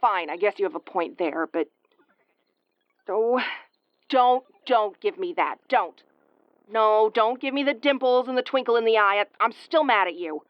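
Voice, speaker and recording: A woman's voice: medium pitch, animated, moderate pace, no room sound, natural voice. A tough tomboyish starship commander, played by a woman in a sci-fi audio roleplay. She is brusque and prickly with a caring streak, tripping over words when flustered. The sound is very dry and slightly muffled. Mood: flustered, angry. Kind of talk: intimate audio roleplay acting.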